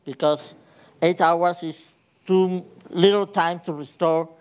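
A man's voice: sits high, fairly even intonation, slow pace, smooth. A man from Ecuador with an Ecuadorian Spanish accent giving a technical conference talk in a large hall, hesitant with many fillers and restarts. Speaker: conference speaker.

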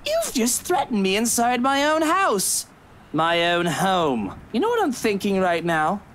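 A man's voice: high-pitched, huge pitch swings, a bit bright, slight background noise, full character voice. An actor playing an arrogant rich villain in a visual novel game, haughty and taunting, drawing out his words. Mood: cold menace. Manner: haughty, drawn-out taunting delivery. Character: arrogant wealthy blond villain, a menacing man.

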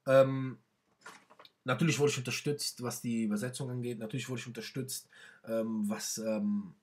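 A man's voice: mid-range voice, steady tone, normal speed, a little mellow. Young man, non-native speaker, casual webcam talk, hesitant, fillers and restarts, small bedroom. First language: not German. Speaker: author presenting his book.

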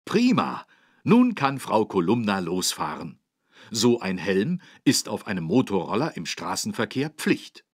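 A man with a low, husky voice narrates for young children. His delivery is lively and encouraging, carefully phrased, and recorded in a dry studio. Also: unhurried, dry recording. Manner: clearly phrased, encouraging, animated. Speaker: narrator of a children's learning game.

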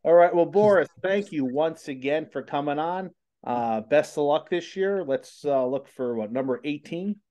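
A man's voice: a little high, expressive, moderate pace, mellow. A man, hosting a casual interview over video call from a small home studio, chatty and rambling. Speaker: host. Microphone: studio mic on boom arm.

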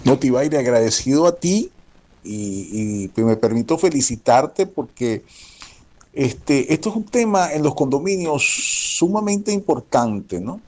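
A man's voice: mid-range voice, big pitch swings, unhurried, mellow. A man with a Venezuelan accent giving an earnest interview answer, repeating phrases for emphasis. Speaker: guest, security company manager.

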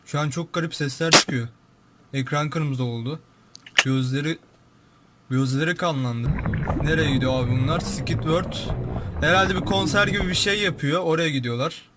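A man's voice: fairly high pitch, moderate pace, heavy background noise. A young man giving casual, informal, exclamatory commentary as a YouTube host, describing what he sees. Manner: informal, exclamatory, narrates what appears on screen.

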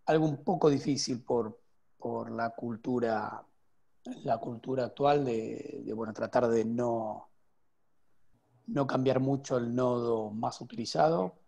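A man in his thirties, a guest developer, explaining at length on a video call from home with many restarts and pauses. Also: medium pitch, vocal fry, mellow. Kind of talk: casual video call interview. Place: home room with window. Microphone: earphone headset on video call.

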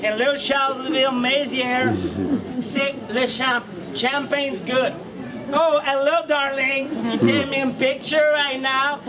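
A tipsy old English lady of a street puppet show, put on by a man. The voice is very high, over loud noise and a big outdoor crowd. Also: flat delivery, slow, far from the mic. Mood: tipsy, gushing.

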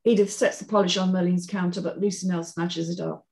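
A woman's voice: lowish voice, steady tone, a little fast, creaky, darkish tone. A woman reading scripted stage directions as narrator in a screenplay table read. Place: indoor room at home, on a video call.

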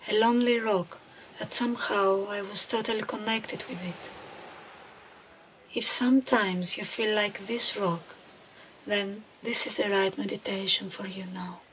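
Slow-paced woman guiding a meditation. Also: medium pitch, fairly even intonation. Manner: gentle, unhurried, deliberate phrasing with pauses. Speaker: meditation guide. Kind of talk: guided meditation narration. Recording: clearly noisy, ambient background sound.

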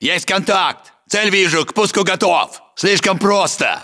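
A confident, hard-edged soldier in a war game, snapping out reports and orders. The voice is high for a man, bright and forceful. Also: lively intonation, unhurried, exaggerated voice. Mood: focused, confident. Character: hard confident Russian soldier hunting the enemy. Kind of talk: military game voice lines, clipped reports.

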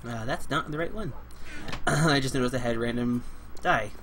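A young man hosting a casual game let's play, playful and chatty, getting over a cold and clearing his throat now and then. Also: mid-range voice, moderate pace, background noise. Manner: playful, chatty, talks to animals and co-player in a cutesy way. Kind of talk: casual gameplay commentary.

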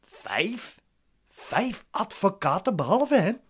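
Radio play defendant: impatient, commanding, questioning, widely swinging intonation. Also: male voice, high-pitched, very animated, slow, a bit bright, slightly rough, exaggerated voice. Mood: inquisitive. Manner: curt, questioning. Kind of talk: radio drama acting.

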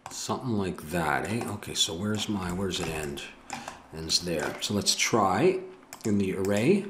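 A man's voice: low-pitched, sing-song, unhurried, background noise. A middle-aged man with an Ottawa Valley Canadian accent, thinking aloud as he works through a 3D modeling tutorial at his desk and correcting himself as he goes. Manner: musing, self-correcting, talks to himself. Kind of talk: thinking aloud while working. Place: home room at a desk.

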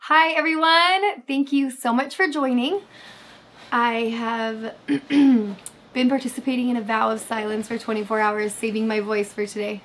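A woman in her thirties, slightly hoarse, chatting casually with viewers on a livestream from her bed, with lots of fillers. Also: on the high side, steady tone, moderate pace, a bit bright, a little hiss or hum. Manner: chatty, reads chat aloud, many fillers. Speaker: livestream host. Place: bedroom, sitting in bed. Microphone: webcam framing.

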